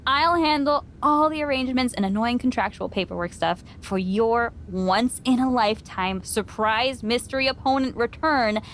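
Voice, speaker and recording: A woman's voice: a little high, normal speed, hardly any pauses, a bit bright, slight background noise. An actress playing a teasing but caring female wrestling promoter and loyal friend in a video game cutscene.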